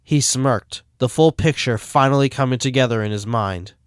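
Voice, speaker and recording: A man's voice: mid-range voice, normal speed, smooth, no echo, studio quality. A man reading scripted story narration as the narrator. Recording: music behind the voice.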